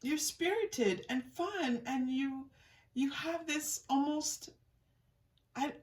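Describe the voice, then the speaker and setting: A woman's voice: high-pitched, slow pace. Woman tarot reader, distant mic. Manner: encouraging, conversational, addresses the listener directly. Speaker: tarot reader hosting a reading. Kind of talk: casual improvised card reading to viewers. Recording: distant mic with faint noise.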